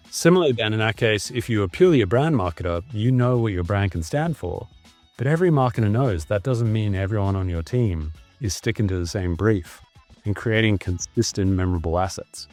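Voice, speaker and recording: A man's voice: fairly low pitch, a little mellow. A man with an Australian accent, a guest on a podcast, explaining fluently in a casual conversation over a video call.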